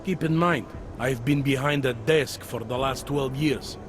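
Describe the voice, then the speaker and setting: A man's voice: mid-range voice, a little slow, music in the background, lightly acted. A man acting a world-weary, middle-aged police detective in a video game, with a hesitant, wry, conversational delivery. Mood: wry, musing. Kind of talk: video game cutscene dialogue.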